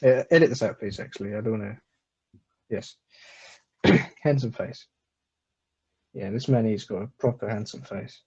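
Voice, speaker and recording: Bloke, crude mocking slide show, husky mellow voice. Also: male voice, lowish voice, normal speed, pauses often. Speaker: comedy presenter. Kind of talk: joking slide presentation. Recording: dull lo-fi recording.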